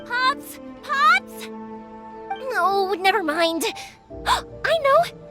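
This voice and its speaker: An actress voicing a cheerful, energetic young woman who makes fireworks in a video game, chatty and expressive. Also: extremely high pitch, extremely slow, over music, exaggerated voice. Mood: upbeat, hurried.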